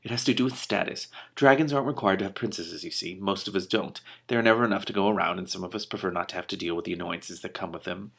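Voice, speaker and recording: A man reading a storybook as a composed, shrewd female dragon, with a measured, dry delivery. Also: sits low, creaky, a bit bright, slightly rough, full character voice. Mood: patient, explanatory. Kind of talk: storybook read-aloud.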